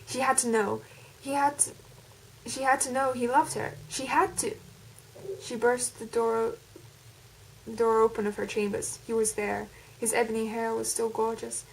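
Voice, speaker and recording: A woman's voice: on the high side, level tone, normal speed, vocal fry, slight background noise, rough recording. A woman putting on an American accent as an intense, melodramatic storyteller with dramatic, emphatic delivery. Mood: tense, yearning. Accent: put-on American.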